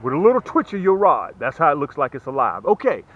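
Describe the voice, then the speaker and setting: Animated high-voiced man pitching to camera. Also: quick pace, phone-quality sound, soft background music. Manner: emphatic, folksy, repeats phrases for emphasis. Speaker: fishing channel host and tackle seller. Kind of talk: casual sales pitch to camera.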